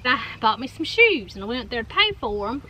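A woman in her forties with a Southern US accent, telling stories in an animated, chatty way to viewers outdoors in a golf cart. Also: fairly high pitch, fairly quick, slight background noise, low-quality recording. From North Carolina. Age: 40s. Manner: animated storyteller, addresses viewers as y'all. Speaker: co-host. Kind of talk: casual storytelling chat. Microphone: fixed camera in front.

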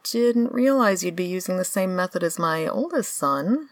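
A woman plays a tired, sardonic supernatural guide in a comedy radio play. Her voice sits on the low side, offhand and dry, with no room sound. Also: animated, moderate pace, no echo, lightly acted. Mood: weary.

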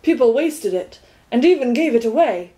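A woman's voice: fairly high pitch, lively intonation, moderate pace, warm tone, lightly acted, low-quality recording. A woman voicing an earnest male watchdog who declaims grandly and gets carried away. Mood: proud. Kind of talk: audiobook character voice.

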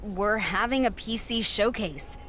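A woman's voice: medium pitch, measured pace, a bit bright, slight background noise, natural voice. An actress playing a confident young female wrestler who speaks matter-of-factly.

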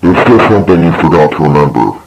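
A man voicing an ominous, accusing horror narrator, speaking slowly and deliberately with a gravelly voice. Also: big pitch swings, slight background noise, heavily acted, low-quality recording. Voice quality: gravelly, low. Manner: slow, menacing, deliberate. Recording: loud music over voice.

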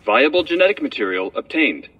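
A male actor voicing a brisk, impersonal computer system in a video game, with a synthetic filter. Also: fairly high pitch, big pitch swings, husky. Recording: distant, dull speaker sound.

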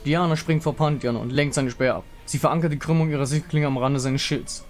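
A man's voice: fairly high pitch, steady tone, fairly quick. Male narrator telling a fantasy battle over music. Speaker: narrator reading visual novel dialogue. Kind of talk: dramatic scripted narration.

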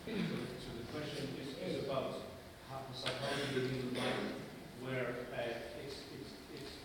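A man moderating an audience Q&A, rephrasing questions with halting restarts. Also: medium pitch, fairly even intonation, very noisy. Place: school theatre stage. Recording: echoey hall from far off.

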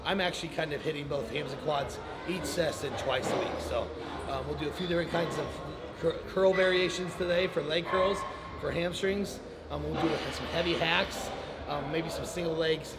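A young man coaching a leg workout in a large indoor gym, explaining technique in a quick, encouraging way. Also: on the high side, fairly even intonation. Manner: encouraging, instructive, quick-talking. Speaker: bodybuilding coach. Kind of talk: casual gym coaching talk. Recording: gym ambience noise behind.

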